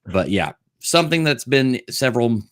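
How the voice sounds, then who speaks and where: A man co-hosting a stream in casual chat, with halting, hesitant phrasing. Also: medium pitch, big pitch swings, fairly bright tone. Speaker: stream co-host, video creator.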